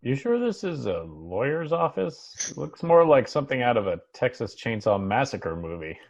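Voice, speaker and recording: A man's voice: mid-range voice, big pitch swings, vocal fry, dull audio. A man reading the part of an uneasy, questioning heir in a TV drama table read, speaking hesitantly. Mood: dry, doubtful. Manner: hesitant, halting. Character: Muff, bewildered male heir, uneasy and questioning. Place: home, remote video call.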